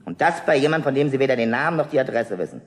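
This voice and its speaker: A man's voice: mid-range voice, lively intonation, rapid-fire, natural voice. An actor playing a suspicious, nosy male neighbor in a radio play, questioning a stranger at the door. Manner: prying, officious, interrogating. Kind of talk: 1970s radio play acting.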